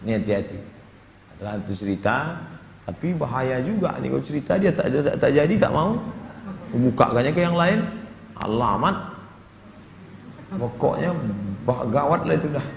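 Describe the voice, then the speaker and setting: A middle-aged man preaches with a creaky, mid-pitched voice. He takes questions in a humorous, conversational way, slipping into little dialogues and quotations. The recording is echoey and reverberant, with some background noise. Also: normal speed, pauses often. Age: 40s. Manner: humorous, conversational, with dramatic asides and Arabic quotations. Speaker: Islamic preacher. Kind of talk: sermon answering audience questions, with jokes and acted-out dialogues.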